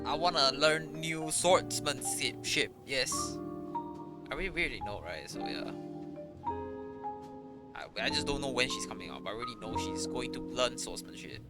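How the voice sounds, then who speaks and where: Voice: mid-range voice, expressive, a little slow, long pauses. A streamer giving casual live commentary on a game, joking, reacting and swearing freely. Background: loud game music.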